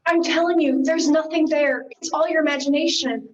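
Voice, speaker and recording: A woman's voice: sits high, steady tone, moderate pace, natural voice. A teenage girl acting an exasperated, insistent voice of reason in a school stage comedy, speaking emphatically. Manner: emphatic, theatrical. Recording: dull, distant school play recording.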